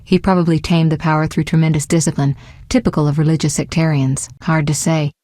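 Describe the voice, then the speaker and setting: A woman playing a composed, caring and resolute sorceress in a fantasy video game, with a measured, articulate and earnest delivery. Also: deep voice, level tone, warm tone, no room sound, clean studio sound, close to an everyday voice. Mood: confident, businesslike. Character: composed young adult sorceress, caring, sharp-witted, resolute.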